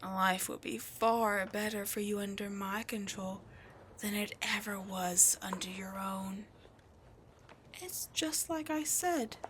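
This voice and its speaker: A woman voicing a seductive, scheming female demon queen in an audio drama, teasing and taunting, dropping into breathy whispers. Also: mid-range voice, takes it slowly, noisy background, close to an everyday voice.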